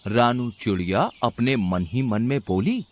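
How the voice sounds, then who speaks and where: A man's voice: mid-range voice, animated, a little slow. A man narrating a scripted animal fable as a storyteller for a cartoon.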